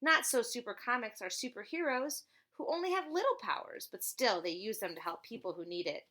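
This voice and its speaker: A woman reads a children's book aloud like a teacher to young listeners. Her voice is fairly high and bright, with very lively intonation and chatty asides. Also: fairly quick. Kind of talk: expressive children's storybook read-aloud.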